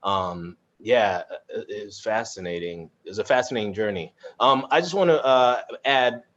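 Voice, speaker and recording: A man's voice: on the low side, normal speed, a bit bright. A man moderating a casual live panel chat from home, speaking informally and hesitantly over a video call. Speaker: host and moderator of a cast chat. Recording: muffled video-call audio.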